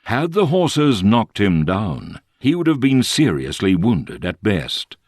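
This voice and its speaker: A man reading a literary story as an audiobook narrator, with measured, expressive storytelling. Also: lowish voice, dry recording, clean studio sound.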